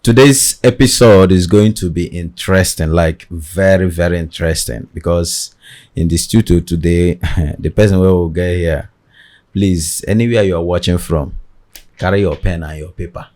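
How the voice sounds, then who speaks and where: Nigerian man with a very low, deep voice, who hosts a podcast from a studio. He speaks in a formal, measured presenter style, welcoming his guest and addressing the viewers. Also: darkish tone. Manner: formal, deliberate presenter delivery. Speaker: podcast host. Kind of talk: podcast interview.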